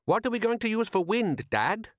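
A man's voice: very animated, normal speed, no echo, studio quality. A man voicing a young fisherman's son in an audiobook, naive and anxious, with a plaintive, questioning way of talking. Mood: puzzled. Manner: high-pitched, querying, plaintive.

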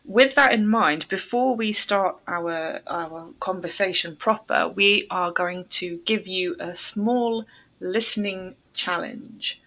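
Woman hosting a podcast interview, really bright voice, lowish pitch, careful clear phrasing for learners. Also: slow, low-quality recording. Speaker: podcast host.

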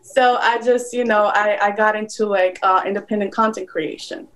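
A woman's voice: medium pitch, steady tone, normal speed, a bit bright, poor audio. A woman in her thirties from Poland, speaking English as an interview guest, chatty and frank with many fillers, over a video call. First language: Polish. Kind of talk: casual interview chat.

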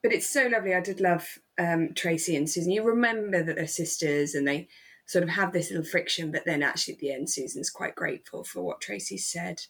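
A woman's voice: fairly low pitch, a little fast, a bit bright, slightly rough. A woman co-hosting a casual podcast chat, musing and wondering aloud in a reflective way. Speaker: podcast co-host. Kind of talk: casual discussion of a radio drama.

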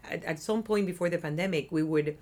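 A woman's voice: on the low side, fairly quick, a little mellow. A woman in her thirties from Cuba with a Cuban Spanish accent, chatting as an interview guest about her nonprofit work and often tagging on "right?". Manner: talkative, upbeat, often adds "right?". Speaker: interview guest, nonprofit community development worker. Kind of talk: casual interview chat. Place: office.

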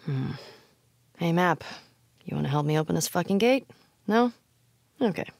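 A woman's voice: on the low side, expressive, moderate pace, creaky, darkish tone, no echo, studio quality. A young woman playing a tough, foul-mouthed, wry survivor in a video game, muttering in terse, conversational asides. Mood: wry, matter-of-fact. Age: young adult. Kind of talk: video game voice acting, naturalistic.

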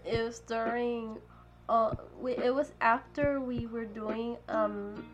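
Slow, giggly teen girl. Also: fairly high pitch, fairly even intonation, soft background music, low-quality recording. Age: teens. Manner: giggly, halting. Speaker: podcast co-host. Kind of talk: casual chat.

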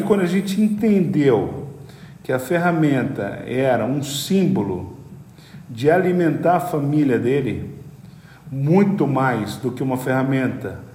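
A man's voice: medium pitch, darkish tone. Brazilian man telling a long story in a roomy space. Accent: Brazilian Portuguese. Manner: hesitant, repeats words while explaining at length. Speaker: podcast guest, company executive. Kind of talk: conversational business storytelling. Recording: roomy echoey room.

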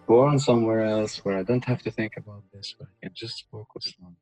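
A fairly low-pitched young man talks things through in a thoughtful, earnest way, tagging his sentences with "you know". Faint music sits quietly behind his voice. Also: fairly even intonation, a little fast, rough recording. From Palestine. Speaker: musician guest. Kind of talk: reflective interview answers.

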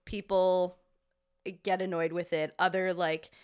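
A woman's voice: fairly low pitch, level tone, unhurried, a little mellow, clear, resonant tone. A young woman chatting casually as a podcast guest, rambling with lots of fillers and restarts. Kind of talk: casual chat.

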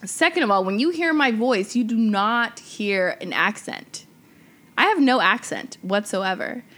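A woman's voice: medium pitch, expressive, a little slow, a bit bright. Young woman, podcast co-host, blunt, profane, emphatic, casual chat.

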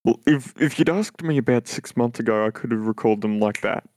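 A young man with a very deep voice, chatting casually as a podcast co-host and talking in halting, hesitant phrases full of restarts. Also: a little mellow, dry recording. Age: young adult. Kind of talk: casual chat.

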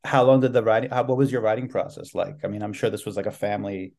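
A man's voice: on the low side, flat delivery, fast talker, vocal fry, darkish tone. A man from New York City hosting a podcast interview, talking loosely and rambling with lots of "like". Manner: loose and rambling, heavy use of "like". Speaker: podcast host. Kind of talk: casual interview chat.

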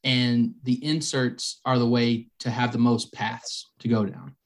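Man, mid-pitch, relaxed, joking, casual podcast banter. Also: measured pace. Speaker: podcast co-host. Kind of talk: casual chat.

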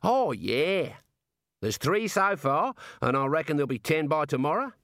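A man's voice: a little high, big pitch swings, moderate pace, a bit bright, no echo, studio quality, pitched above the performer's own voice. Man voicing a cheerful prison warder. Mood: cheerful, obliging. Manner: folksy colloquial Australian-style slang. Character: cheerful, matter-of-fact male prison warder, chatty and obliging. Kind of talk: comic audiobook character voice.

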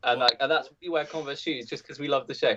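Male guest, easygoing rambler, middle pitch, muffled recording. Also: steady tone, fast talker, noisy background. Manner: chatty, hesitant with many fillers. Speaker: band member, guest. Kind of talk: casual chat.

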